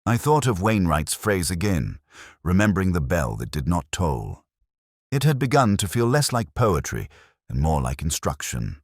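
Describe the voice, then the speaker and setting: Male actor as brooding inspector narrating a detective drama: extremely low voice, expressive intonation, measured delivery. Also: no room sound, studio quality, full character voice. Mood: brooding, observant. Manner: measured, literary, reflective. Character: brooding male police inspector recounting a murder case. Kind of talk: first-person scripted detective story narration.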